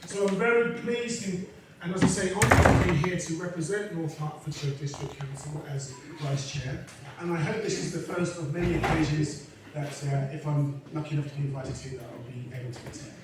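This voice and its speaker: High-voiced man speaking in an echoey hall. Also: steady tone, brisk. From England. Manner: unscripted, hesitant with many fillers and restarts. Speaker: council vice chair and lecturer giving a speech. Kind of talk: informal speech at a community event. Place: large echoey event hall. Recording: echoey hall, from far off, noisy.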